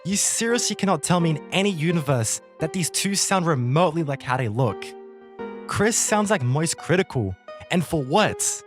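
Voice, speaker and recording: A man's voice: fairly high pitch, animated, normal speed. A young man delivering a scripted commentary rant, slangy and melodramatic, drawing out words for emphasis. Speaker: video commentator. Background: music.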